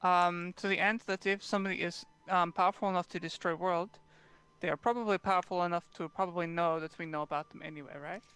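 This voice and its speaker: A man's voice: sits high, fairly even intonation, quick pace, bright, forceful tone, clear, resonant tone. A man playing Gruthkul, a blunt warrior in broken English. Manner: broken English, drops articles, blunt and plain. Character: gruff male warrior Gruthkul, blunt and boastful. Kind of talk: in-character tabletop roleplay.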